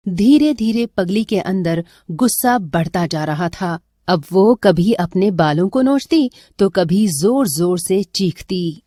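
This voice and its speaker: A woman's voice: on the low side, expressive, takes it slowly, few pauses, dark tone, dry recording, clean studio sound. A woman narrating a scripted dramatic story as a storyteller.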